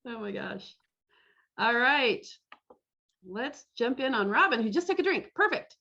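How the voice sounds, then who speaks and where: A woman with a highish, very animated voice hosts an online gathering in a casual way. Her pace is unhurried, and the sound is slightly dull, as heard over a video call. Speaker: host of an online costume parade. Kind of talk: casual live event hosting. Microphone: computer mic on a video call.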